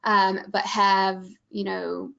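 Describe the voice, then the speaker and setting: A woman's voice: medium pitch, little pitch movement, slow, vocal fry, fairly bright tone. A woman with a Michigan Midwestern accent presenting admissions information in a webinar, informative, with many ums and restarts. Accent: Midwestern American (Michigan). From Michigan, USA. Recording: dull lo-fi webinar audio.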